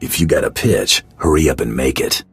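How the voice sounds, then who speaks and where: A man playing a curt, menacing bounty hunter in a video game, with a gravelly rasp and dry sarcasm. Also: a bass voice, brisk, no room sound, faint music behind, full character voice. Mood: cold threat. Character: gruff, callous male bounty hunter.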